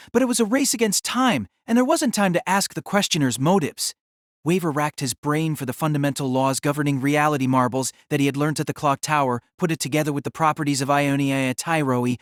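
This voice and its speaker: Male narrator for dramatic novel narration, slightly high register, close dry recording. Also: fairly quick, a bit bright, dry recording.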